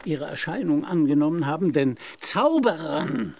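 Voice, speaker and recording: A man's voice: on the high side, big pitch swings, normal speed, creaky, a little hiss or hum. A man playing a deluded, lofty old knight-errant in a radio play, declaiming pompously in archaic phrases. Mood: lofty, patient.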